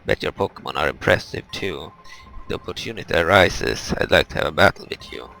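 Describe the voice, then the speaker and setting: Man acting out eager male game trainer, deep forceful voice, emphatic, exclaiming, video game dialogue. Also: expressive, fairly quick, creaky, full character voice, poor audio. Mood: eager, admiring. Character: eager male Pokémon trainer, admiring, indignant about thieves. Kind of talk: reading game dialogue aloud.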